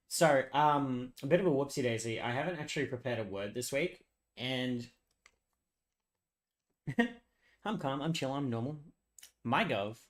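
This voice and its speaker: A hoarse young man chatting casually with viewers on a live stream, rambling, laughing often and swearing freely. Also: medium pitch, animated, slow pace, pauses often.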